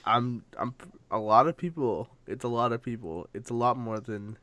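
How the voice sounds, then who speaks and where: A man's voice: mid-range voice, normal speed, fairly bright tone, no echo. A young man chatting casually on a podcast in a blunt, slangy way. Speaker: podcast co-host. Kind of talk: casual chat.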